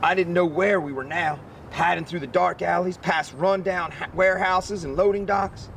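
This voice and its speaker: A man reading a children's picture book aloud as an expressive narrator. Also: high voice, moderate pace, gravelly, a little hiss or hum. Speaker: story reader.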